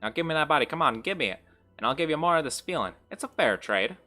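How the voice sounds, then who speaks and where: Fierce high-pitched Brooklyn demon spirit. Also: male voice, expressive, fast talker, pushed and bright, soft background music. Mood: gloating. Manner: pushy, cackling, wheedling. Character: vulgar, fierce shade spirit, gleefully bloodthirsty, taunting.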